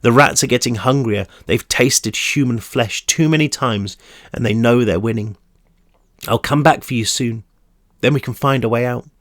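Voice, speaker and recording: A man with a croaky voice reading the part of a young male prisoner who speaks in low, urgent warnings. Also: moderate pace, dry recording, studio quality, natural voice. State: croaky, losing his voice.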